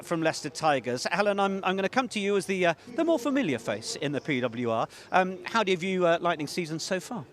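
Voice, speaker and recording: A man presenting live rugby coverage from a stadium, chatting easily with his guests. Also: high-pitched. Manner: chatty, warm-hearted banter with guests. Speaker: sports presenter. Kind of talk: live sports broadcast presenting. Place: rugby stadium, then a commentary box.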